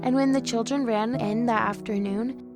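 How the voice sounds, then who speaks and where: A woman as a gentle storybook reader. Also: a little high, fairly even intonation, moderate pace, natural voice. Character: young woman reading a fairy tale aloud. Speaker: storyteller within the drama. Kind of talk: audio drama. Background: music.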